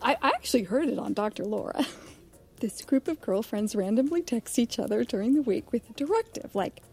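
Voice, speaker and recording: An excitable married girlfriend in a naturalistic audio drama. Her high, very husky voice swings up and down as she gossips and giggles. Music plays behind her. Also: fairly quick. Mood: giggly, confiding. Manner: gossipy, animated, breathy giggling delivery.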